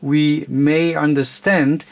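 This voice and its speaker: A man who is not a native English speaker gives an informal technical tutorial, halting often with frequent uhs. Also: fairly high pitch, little pitch movement, vocal fry. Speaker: tutorial presenter, radio hobbyist.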